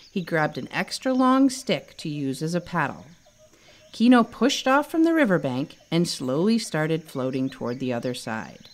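Female children's storyteller: slightly low register, hugely varied pitch, expressive, dramatic narration. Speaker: author reading own storybook.

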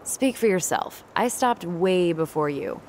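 A woman's voice: on the low side, animated, measured pace, a little mellow, a little hiss or hum. An actress playing a dry, guarded, teasing young American woman in naturalistic video game dialogue. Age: young adult.

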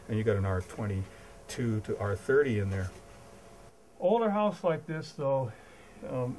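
A man's voice: mid-range voice, darkish tone, a little hiss or hum. A middle-aged Canadian man, a home energy advisor, explaining repairs in a house basement in a practical, unpolished way with some hesitations. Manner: practical, hesitant with fillers, pointing things out. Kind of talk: on-site explanation.

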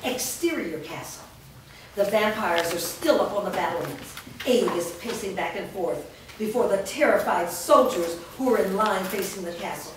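A woman's voice: medium pitch, moderate pace, background noise. A woman narrating stage directions steadily at a staged script reading. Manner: steady, descriptive. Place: large studio room. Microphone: far camera mic. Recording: echoey room from far off.

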